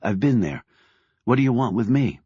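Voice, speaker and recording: A man voicing a wary, sharp-tongued woman journalist in an audiobook. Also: mid-range voice, big pitch swings, moderate pace, husky, no echo, dull audio.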